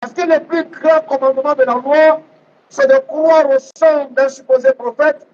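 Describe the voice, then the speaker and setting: Guy preaching islam, really high voice, teaching hard and repeating lines, muffled lo-fi audio. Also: animated, moderate pace, a little mellow, slight background noise. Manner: emphatic, didactic, repeats readings phrase by phrase. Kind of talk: public religious debate preaching. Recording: dull, lo-fi sound.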